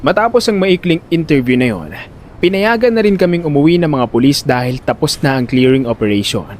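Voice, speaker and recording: A man's voice: on the high side, expressive, a little fast, background noise, close to an everyday voice. A man with a Filipino accent playing a panicky, talkative older brother who narrates his own story in an audio drama and gets easily flustered and exasperated. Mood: tense narrating. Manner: flustered, stammering when pressed, exasperated.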